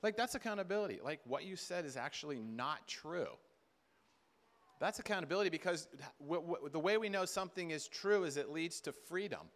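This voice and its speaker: A man's voice: fairly high pitch, animated, brisk, fairly bright tone. A middle-aged man speaking to a conference audience in an informal sermon style, talking conversationally, repeating words and acting out bits of dialogue. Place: large indoor hall with seated audience. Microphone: far camera at back of room.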